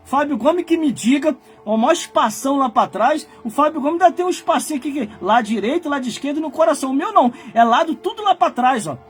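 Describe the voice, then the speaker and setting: A man in his forties preaching with fire, his voice sitting very high as he climbs into shouted warnings and then sinks to low, muttered asides. Soft music plays faintly behind him. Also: expressive, quick pace, few pauses. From Brazil. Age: 40s. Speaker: pastor. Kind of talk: fiery Pentecostal-style sermon.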